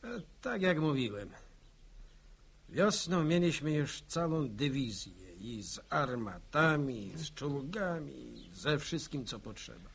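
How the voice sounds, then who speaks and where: An actor playing a modest, plain-spoken village veteran telling his story without hurry in a radio drama, his voice husky. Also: mid-range voice, very animated, slow, a bit bright, full character voice. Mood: proud recollection.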